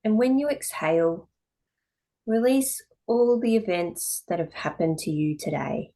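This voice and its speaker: A woman teaching yoga, giving calm, measured guided meditation instruction in short phrases with pauses between them. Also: mid-range voice.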